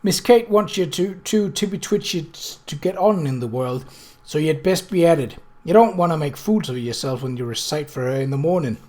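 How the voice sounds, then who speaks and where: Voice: medium pitch, lively intonation, moderate pace, mellow, lightly acted. A reader voicing a kindly but bossy working-class woman cook with folksy, matter-of-fact speech in a story.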